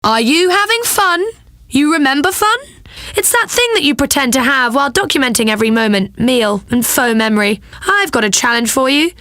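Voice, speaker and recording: A woman's voice: sits high, animated, a little fast, pushed and bright, no room sound, clean studio sound. A woman playing a flippant, bubbly young British pop radio DJ in a video game, with breezy, sardonic patter. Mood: playfully preachy.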